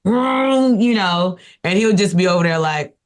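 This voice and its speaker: A woman with a raspy voice, talking casually and playfully about her husband on a podcast. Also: medium pitch, a little slow. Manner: animated, playful, teasing. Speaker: podcast guest, wife. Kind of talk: casual chat.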